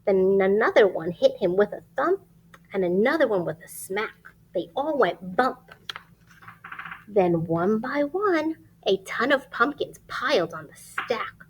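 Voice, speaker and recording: A woman reading a picture book aloud to young children, expressive and emphatic, pausing to ask questions and point things out. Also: medium pitch, slight background noise, low-quality recording. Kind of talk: animated children's read-aloud.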